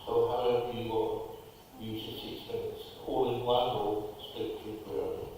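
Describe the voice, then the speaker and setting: A man chairs the meeting, keeping speakers to time in a polite, businesslike way. His voice is mid-pitched and comes from far off in a reverberant chamber with faint room noise. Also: flat delivery, mellow. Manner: brisk, polite procedural. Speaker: committee chair. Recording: echoey council chamber, distant mic.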